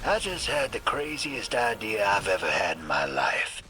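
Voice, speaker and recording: A man's voice: lowish voice, sing-song, normal speed. A man playing a wry, daring adventurer in a video game, with a colloquial way of talking. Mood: urgent, musing. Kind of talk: dramatic video game acting. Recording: loud music over the voice.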